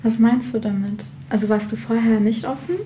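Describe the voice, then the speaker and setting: A woman with a somewhat high-pitched voice hosts an interview. She shifts between conversational questioning and prepared narration. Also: fairly even intonation, fairly quick, warm tone, slight background noise. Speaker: host and interviewer.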